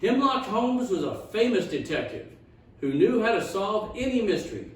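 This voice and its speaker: A man reading a rhyming children's story aloud in a theatrical, expressive storytime style. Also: sits high, moderate pace. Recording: echoey room, distant mic.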